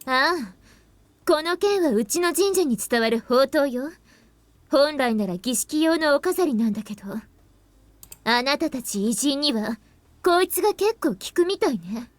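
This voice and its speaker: A woman's voice: sits high, expressive, brisk, dry recording. A woman playing a serious young sword-wielding shrine girl in a Japanese visual novel. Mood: serious, explaining.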